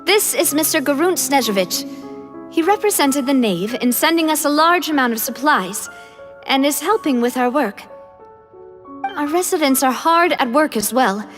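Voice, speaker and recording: A woman's voice: sits high, expressive, normal speed, fairly bright tone, soft background music. An actress playing a plucky young female community leader in a video game, friendly, casual and a little teasing. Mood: earnest, hopeful.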